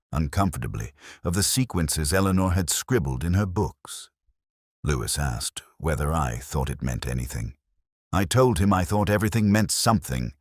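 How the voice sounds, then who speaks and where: An extremely deep male voice narrates a first-person mystery in measured, literary phrasing. The delivery is brooding yet moves through an expressive range of pitch. The sound is dry, with no room around it. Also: breathy edge, dry recording. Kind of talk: scripted first-person detective story narration.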